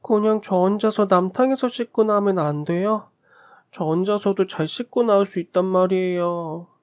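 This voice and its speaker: A man's voice: high-pitched, normal speed, smooth, voice pushed up, natural voice. A man putting on a whiny little child. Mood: pleading. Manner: whining, pleading.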